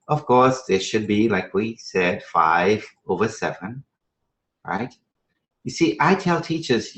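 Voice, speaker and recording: A man's voice: fairly low pitch, a bit bright. A man from Trinidad and Venezuela teaching a math strategy session to teachers, conversational and emphatic, with rhetorical questions and frequent check-in tags. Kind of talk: live instructional presentation to teachers. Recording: echoey room sound.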